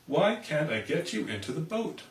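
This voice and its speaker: Man acting exasperated, bossy storybook dad, swooping pitch. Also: big pitch swings, normal speed. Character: exasperated, bossy fishing father, easily alarmed. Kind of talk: animated children's storybook reading. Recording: roomy, distant microphone.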